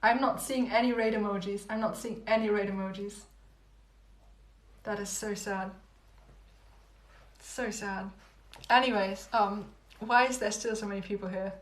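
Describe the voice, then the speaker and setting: A young woman chatting casually with livestream viewers, rambling and repeating herself with little laughs, her voice going hoarse. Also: mid-range voice, steady tone, a little slow, pauses often. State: losing her voice. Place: home room. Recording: echoey room, phone livestream.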